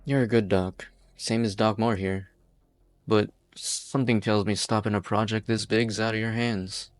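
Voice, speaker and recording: A worn-out pioneer leader talks in a plain, folksy, slightly low voice, voiced by a man. The sound is dry and close, with a blunt edge of desperation. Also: steady tone, moderate pace, dry recording, lightly acted. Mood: weary. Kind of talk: amateur fan dub acting.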